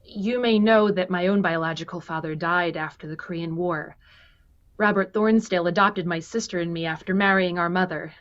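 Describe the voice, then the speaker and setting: A woman's voice: on the low side, moderate pace, dull audio, lightly acted. A woman playing an earnest, unsure heiress in an online table read of a stage musical, recorded over a video call. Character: earnest, unsure adult banker's daughter.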